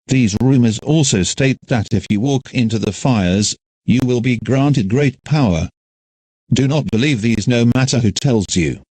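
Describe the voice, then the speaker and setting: A man reads a scripted emergency alert as an announcer in a formal, measured way. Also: medium pitch, darkish tone, breathy edge, no room sound, low-quality recording.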